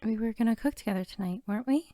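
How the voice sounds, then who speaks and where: A woman playing a sweet, bookish, playful archivist girlfriend in an intimate ASMR roleplay, soft-spoken and teasing. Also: on the high side, racing, no echo, studio quality, lightly acted. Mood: cozy, affectionate. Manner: soft-spoken, often near whisper, giggly, teasing.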